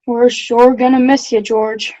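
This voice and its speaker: A woman's voice: a little high, level tone, unhurried, warm tone, no room sound, lo-fi sound, natural voice. Woman playing a tired, kindly father. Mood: weary, affectionate. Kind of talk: old-time radio play acting.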